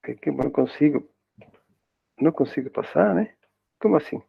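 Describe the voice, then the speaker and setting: A man's voice: a little high, lively intonation, normal speed, vocal fry, husky, dry recording. A man whose first language is Spanish, lecturing in Portuguese with a part Brazilian accent in an informal way, often adding tag questions and restarting words, heard over a video call. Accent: Spanish-accented Portuguese, partly Brazilian. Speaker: guest lecturer. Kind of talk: academic web conference talk. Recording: dry tinny video call audio.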